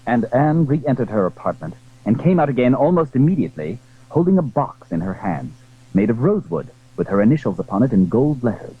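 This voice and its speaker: A man's voice: mid-range voice, big pitch swings, brisk, slightly rough. A man narrating a literary drama in a formal, scripted storytelling style. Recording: very muffled, lo-fi old recording.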